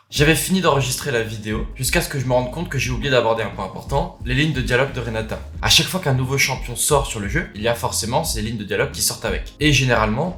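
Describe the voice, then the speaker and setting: A man's voice: medium pitch, a bit bright. A man giving casual, informal and enthusiastic commentary as a video game lore YouTuber. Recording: roomy sound, faint music.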